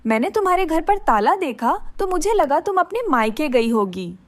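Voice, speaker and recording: High, animated, earnest village woman played by an actress. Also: moderate pace, no room sound, studio quality. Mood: puzzled. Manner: earnest, concerned, exclaiming. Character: kind, helpful village vegetable seller, a trusting mother. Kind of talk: acted children's moral story.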